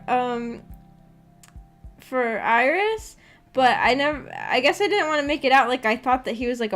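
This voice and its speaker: A woman livestreamer chatting casually with her viewers in a hesitant, rambling, giggly manner. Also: high-pitched. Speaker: streamer and host of a visual novel book club. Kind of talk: casual livestream chat, reacting to viewer comments. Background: background music.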